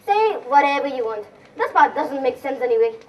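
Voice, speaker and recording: Girl acting bossy impatient young woman in a stage comedy, super high voice, distant noisy recording. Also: sing-song, brisk. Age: young adult. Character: bossy, impatient young woman pushing a Shakespeare parody along. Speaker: student actor in a school play. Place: school stage, recorded from afar.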